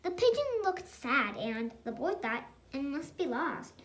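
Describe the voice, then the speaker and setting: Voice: high voice, sing-song, measured pace, a bit bright. A child reading a picture book aloud expressively, with chatty asides. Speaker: storybook reader.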